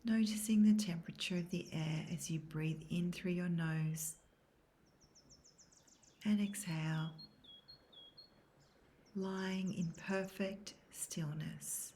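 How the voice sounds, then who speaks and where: A woman guiding a scripted yoga nidra meditation in a soft, breathy, near-whispered voice, calm and measured. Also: fairly low pitch, a little hiss or hum. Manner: calm, measured, soothing instruction. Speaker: guided meditation instructor.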